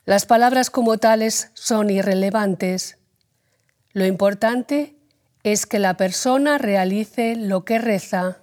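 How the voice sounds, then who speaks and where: A woman reading a religious text aloud in a calm, deliberate way. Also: mid-range voice. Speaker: reader.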